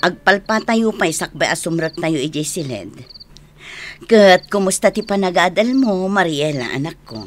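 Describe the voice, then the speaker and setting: Actress as a caring filipina mom in a radio drama, low voice, very expressive, bit of noise. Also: female voice, moderate pace, natural voice. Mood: caring, prying. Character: caring middle-aged mother, gently prying.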